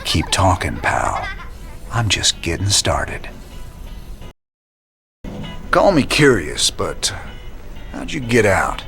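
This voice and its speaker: Folksy rebel leader, low voice that moves around a lot, dry n wry, game cutscene. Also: male voice, wildly swooping pitch, takes it slowly, creaky, pauses often, a bit bright, soft background music, full character voice. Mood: dry, teasing. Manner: laconic, wry. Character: weary, determined male rebel leader, folksy gunslinger.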